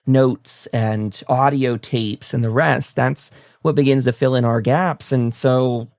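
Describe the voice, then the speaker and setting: Guy doing a chatty podcast host thing, enthusiastic, bit of fry in the voice, really dry close sound. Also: mid-range voice, steady tone, takes it slowly, darkish tone, no room sound. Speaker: talk show host interviewing a guest. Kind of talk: casual interview chat.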